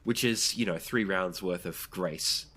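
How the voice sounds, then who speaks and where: Low-pitched game master running a tabletop session. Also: measured pace, a bit bright. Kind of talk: narrating a tabletop roleplaying game.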